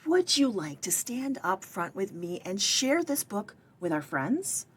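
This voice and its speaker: A woman's voice: medium pitch, big pitch swings, a little slow, slightly rough. A woman voicing an encouraging children's librarian in a storybook, speaking emphatically as she leads story time.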